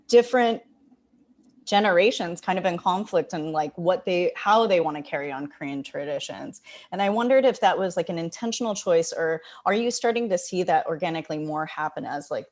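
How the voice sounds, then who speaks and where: A woman moderating a festival Q&A, asking questions hesitantly with many fillers. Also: fairly low pitch.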